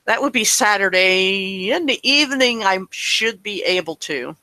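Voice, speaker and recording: Late-50s guy rambling easy in a bible study chat, bright dry voice. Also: high voice, unhurried, dry recording. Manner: relaxed, rambling, chuckles often. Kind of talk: casual online group chat.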